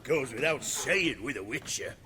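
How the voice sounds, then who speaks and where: A man playing a teasing, swaggering mercenary outlaw in a video game, with a rough, colloquial manner. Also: medium pitch, expressive, normal speed, bright, forceful tone, a little hiss or hum. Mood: mocking. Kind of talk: video game cutscene acting.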